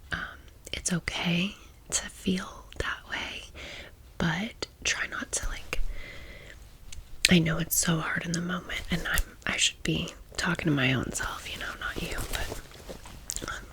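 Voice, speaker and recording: A woman's voice: fairly low pitch, fairly bright tone. Whispering woman in her thirties, ASMR storyteller. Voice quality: breathy, unvoiced whisper. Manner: informal, confiding, talks directly to the listener. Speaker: ASMR video host.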